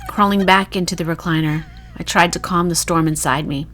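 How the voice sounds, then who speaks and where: A woman reading a first-person horror short story in a dramatic, emotive, hushed storytelling manner. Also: lowish voice, steady tone, fairly quick.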